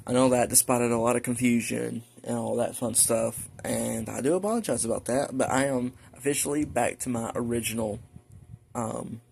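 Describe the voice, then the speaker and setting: A man hosting a hobby video, giving a casual, halting, informal show-and-tell narration. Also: medium pitch, steady tone, gravelly, a little mellow. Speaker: video host, radio collector.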